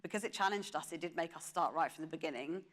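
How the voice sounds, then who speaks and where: A woman's voice: sits low, quick pace. A woman talking emphatically and conversationally on a discussion panel. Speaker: radio station executive on a conference panel.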